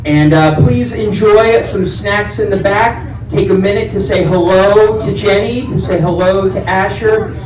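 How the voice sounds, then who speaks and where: A man with a loud voice hosting a community town hall, friendly and joking with residents by name. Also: high voice, measured pace. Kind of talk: casual town hall talk. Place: large meeting room indoors. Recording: echoey room, distant mic.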